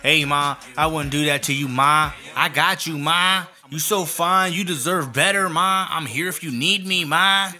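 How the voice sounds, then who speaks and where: A man's voice: on the high side, fairly even intonation, measured pace, few pauses, sharp tone. A man voicing a smarmy young guy who sweet-talks a woman online, slick and syrupy. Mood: smooth-talking. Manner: slick, sweet-talking, repeats "ma".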